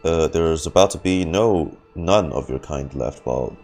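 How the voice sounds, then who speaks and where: Deep voiced guy streamer, slow wry mumbled reactions, game music in background. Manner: muttering, wry asides. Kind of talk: casual live reaction commentary.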